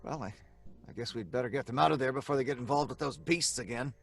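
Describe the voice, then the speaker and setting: A man's voice: medium pitch, fast talker, gravelly, faint music behind, close to an everyday voice. A man playing a stern, exasperated father in an audio drama. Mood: harried. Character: George, stern, harried father.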